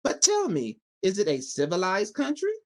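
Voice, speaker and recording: A man voicing a kindly little old woman, a good witch in a storybook, who explains things with gentle assurance. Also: high voice, huge pitch swings, moderate pace, heavily acted. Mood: explaining.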